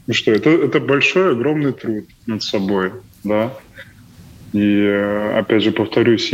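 A man with a deep, velvety voice giving halting interview answers, often repeating his phrases. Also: muffled recording, a little hiss or hum. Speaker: guest, acting student.

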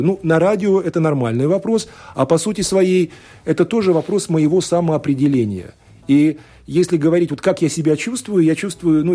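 A man's voice: fairly high pitch, mellow. A man from Latvia, a law scholar and politician, answering radio interview questions thoughtfully, with many fillers and restarts. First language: Russian.

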